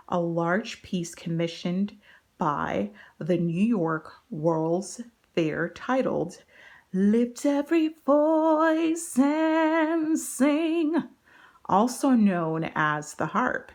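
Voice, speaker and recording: A woman with a fairly low-pitched voice hosts a read-aloud for children. She addresses the young listeners directly, mixing the story with encouraging, instructive asides. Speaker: host reading aloud to children.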